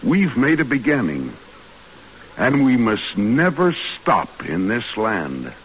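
An actor playing an earnest, visionary American major and former teacher, speaking reflectively in 1940s radio style. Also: male voice, mid-range voice, huge pitch swings, slow pace. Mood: determined. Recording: noisy vintage radio drama recording.

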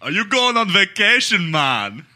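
A man's voice: high-pitched, big pitch swings, a little slow, bright, forceful tone, voice pushed up, heavily acted. A man putting on a chatty Jamaican driver. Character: young Jamaican male Uber driver, chatty.

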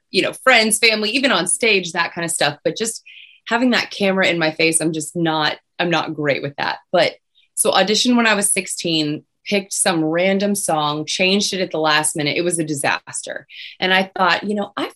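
A young woman singer with a low voice, chatting casually as an interview guest. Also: fairly bright tone. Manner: chatty, many restarts and fillers.

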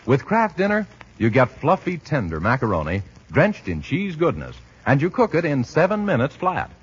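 A man's voice: a little high, wildly swooping pitch, moderate pace. A man announcing in 1940s radio style, with polished, emphatic salesmanship. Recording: muffled 1940s radio recording, husky sound.

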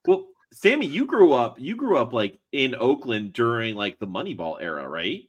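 A man's voice: mid-range voice, big pitch swings, normal speed, slightly rough. A man from Miami co-hosting a podcast in an easygoing, casual chat, laughing often. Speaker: podcast co-host.

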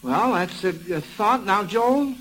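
A man's voice: high voice, lively intonation, unhurried, a bit bright, soft background music. A middle-aged American man hosting an old-time radio quiz show in a folksy, chatty way, repeating himself approvingly. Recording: noisy vintage radio broadcast recording.

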